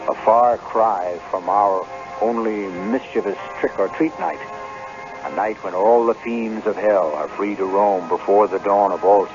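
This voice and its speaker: A man narrates an old radio drama as its host in a theatrical, literary manner. His voice is fairly low, with music clearly behind it. The broadcast sound is somewhat muffled.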